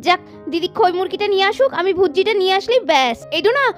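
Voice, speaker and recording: A woman's voice: very high voice, very animated, fast talker, faint music behind. A woman voicing chatty, bustling young village women of a household in a children's doll cartoon. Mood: busy.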